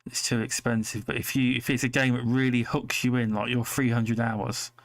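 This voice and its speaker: Creaky-voiced British man chatting. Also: mid-range voice, little pitch movement, moderate pace, no room sound. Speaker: gamer on a podcast. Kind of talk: casual group chat about games.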